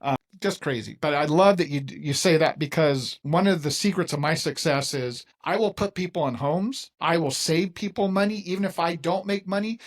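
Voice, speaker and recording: A man's voice: fairly high pitch, moderate pace, hardly any pauses. A man in his fifties hosting a casual interview, talking in an emphatic, folksy way and acting out other people's lines. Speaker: podcast host.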